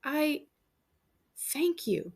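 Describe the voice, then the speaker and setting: A woman plays a young storybook niece, polite and wide-eyed with curiosity. The voice is fairly high with some creak, and the manner is earnest and a little tentative. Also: very animated, very slow, a little mellow, heavily acted. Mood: touched.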